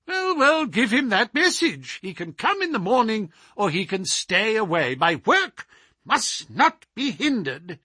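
Storybook villain: a high, penetrating, petulant voice, lively and shrill, close and dry. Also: male voice, a little slow, husky, no echo, full character voice. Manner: shrill, querulous, screaming when angry. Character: petulant, sinister small frail older man.

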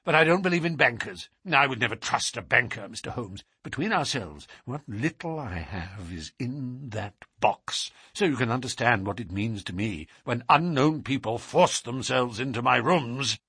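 A man's voice: mid-range voice, normal speed, hardly any pauses, slightly rough, dry recording, clean studio sound, heavily acted. A man voicing a nervous, fearful fat man with a reedy, quivering voice for an audiobook story. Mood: nervous, confiding. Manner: stammering, anxious, excitable. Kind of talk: audiobook character voice.

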